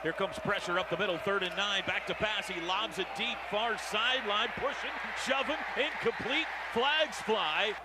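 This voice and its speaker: A man's voice: high voice, normal speed, few pauses. An American man calling football live on radio as play-by-play announcer, in clipped phrases that turn urgent on big plays. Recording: roaring stadium crowd and heavy noise. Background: loud stadium crowd.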